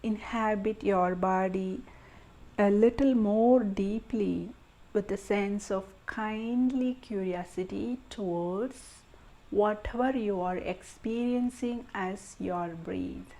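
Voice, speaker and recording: A woman guides a meditation with a soft, soothing delivery. Her tone is mellow and warm, at a middle pitch for a woman. She moves very slowly, setting down short phrases with pauses between them. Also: poor audio.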